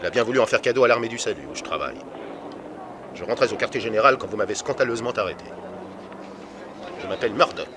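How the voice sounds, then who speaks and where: Actor as fast-talking, indignant haughty gentleman. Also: fairly low pitch, lively intonation, noisy background, close to an everyday voice. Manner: huffy, formal. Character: indignant, haughty gentleman protesting his honesty. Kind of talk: French radio crime drama acting.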